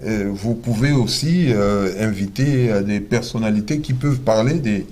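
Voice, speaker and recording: A male interviewer hosting a talk show, asking questions haltingly with many pauses and repeated words. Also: fairly low pitch, mellow. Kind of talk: hesitant conversational interview.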